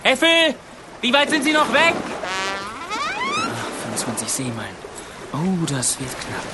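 An actor playing Smirre, an anxious water gnome who captains a ship and barks orders in a radio play. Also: male voice, high-pitched, huge pitch swings, measured pace, over loud music, exaggerated voice. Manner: worried, commanding orders.

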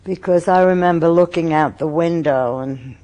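An older woman from Austria telling her story in an oral history interview, halting, with many fillers and pauses. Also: lowish voice, dark tone.

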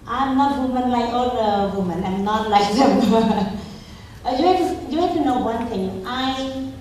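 A woman's voice: fairly high pitch, unhurried, darkish tone, far from the mic, a little hiss or hum. Emphatic Cambodian-accented woman in an echoey hall. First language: Pnong. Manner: emphatic, repetitive, simple broken English. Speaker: guest speaker. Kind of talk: interview answers to an audience.

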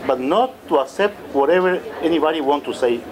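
A man's voice: a little high, expressive, brisk, darkish tone, rough recording. A middle-aged man from Chile, a poet speaking passionately and emphatically as a panelist at a forum. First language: Spanish. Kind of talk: forum discussion.

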